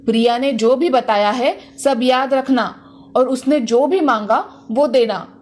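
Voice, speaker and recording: Woman voicing a high-pitched nagging mother-in-law. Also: takes it slowly, a little mellow. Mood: scolding, harsh. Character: greedy, bossy, scolding mother-in-law, an older woman. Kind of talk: Hindi moral story cartoon dialogue.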